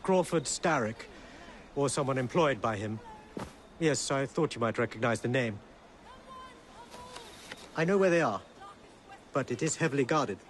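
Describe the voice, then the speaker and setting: An actor playing a dignified, courteous and knowing prince in a video game cutscene. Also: male voice, medium pitch, expressive, unhurried, pauses often, slight background noise, close to an everyday voice. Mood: grave, knowing.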